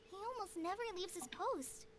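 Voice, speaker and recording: A woman's voice: extremely high pitch, animated, normal speed. A woman voicing a shy, earnest young forest ranger in an anime video game, speaking hesitantly. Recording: game audio under background noise.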